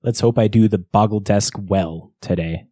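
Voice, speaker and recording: A man's voice: fairly low pitch, normal speed, mellow, no room sound, studio quality. A man co-hosting a podcast, bantering jokily and running through a recap of events in a casual way. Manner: jokey, bantering. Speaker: podcast co-host.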